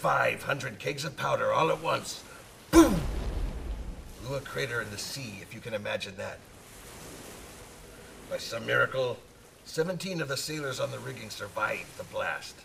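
An actor playing a talkative old sailor who spins hearty sea tales in old-salt style for a radio drama. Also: male voice, medium pitch, normal speed, creaky, long pauses, a bit bright, recorded from a distance, a little hiss or hum. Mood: reminiscing. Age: older. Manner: nautical old-salt talk, calls listener laddie.

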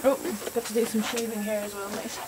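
A woman working as an emergency nurse, speaking briskly and kindly to a patient in a hospital room. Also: medium pitch, flat delivery, noisy background. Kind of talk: talking with a patient.

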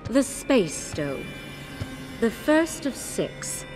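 Game villainess: cold spirit of death, slow and solemn, sweeping intonation, cavernous echo. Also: female voice, fairly high pitch, sing-song, full character voice. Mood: weary, commanding. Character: Death as a regal, cold, commanding mistress. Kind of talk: dramatic video game cutscene acting. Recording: long cavernous reverb, music behind.

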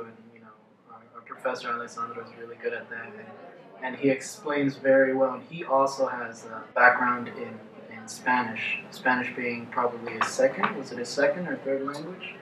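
A man's voice: mid-range voice, pushed and bright, slight background noise. Male student, twenties, mid-range voice, hesitant and casual, roomy sound. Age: 20s. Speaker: study-abroad student. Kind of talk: casual interview. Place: living room, indoors. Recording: roomy, distant mic.

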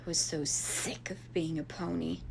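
Woman as gravelly, sullen, scheming villainess. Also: lowish voice, fairly even intonation, moderate pace, rough recording. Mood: sinister. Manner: weary, menacing drawl. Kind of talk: animated fan audio drama.

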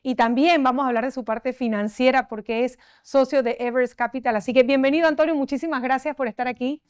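A woman in her forties hosting a studio TV interview, asking polished and lively questions on a lapel mic. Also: sits high, quick pace, clean tone, no room sound. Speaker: TV interview host. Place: TV studio set.

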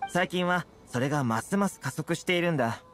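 Easygoing young game fixer, smooth talker, lightish voice, swoopy delivery, some background noise. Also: male voice, on the high side, expressive, brisk, fairly bright tone. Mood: composed, persuasive. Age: young adult. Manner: smooth, persuasive, explanatory. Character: easygoing, confident young fixer with friendly, smooth-talking charm. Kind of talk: Japanese video game voice acting.

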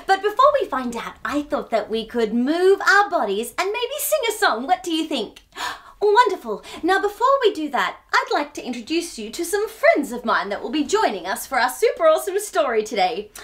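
High, very animated woman storyteller. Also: moderate pace. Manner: exaggerated, animated, sing-song child-directed delivery. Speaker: children's storytime host. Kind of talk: playful read-aloud for young children. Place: small furnished room, indoors.

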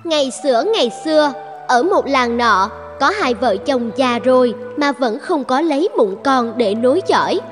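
A woman's voice: high-pitched, animated, normal speed, in a large hall. A woman reading scripted fairy-tale narration as a storyteller. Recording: music behind the voice.

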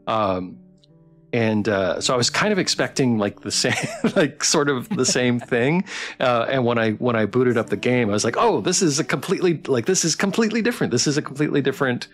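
A man hosting a casual livestream interview, thinking aloud in a halting way. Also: mid-range voice, animated. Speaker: streamer hosting a developer Q&A. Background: faint plucked guitar music.

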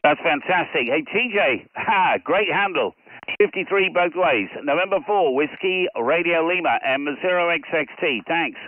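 A man's voice: on the high side, lively intonation, normal speed, narrow, tinny audio, a little hiss or hum. A middle-aged man operating a ham radio station from a home shack, calling out call signs on a headset and chatting casually. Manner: calls out phonetic call signs loudly, then chats to himself. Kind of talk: live radio contacts and casual commentary.

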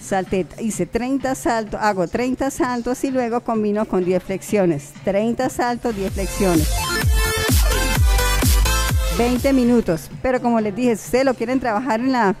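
A woman's voice: on the high side, measured pace. Woman fitness instructor over background music. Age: young adult. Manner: encouraging, chatty, fond of diminutives.

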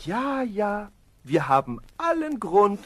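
A man's voice: sits high, sing-song, slow, fairly bright tone, full character voice. A man playing a lazy, smug, foolishly optimistic peasant husband in a children's fairy-tale radio play, with a theatrical drawl. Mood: foolishly relieved. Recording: faint noise on old audio-play recording.

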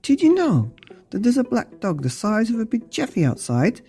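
A woman's voice: on the high side, huge pitch swings, moderate pace, dark tone, soft background music, pitched above the performer's own voice. A woman voicing a frightened young child in a storybook, exclaiming breathlessly.

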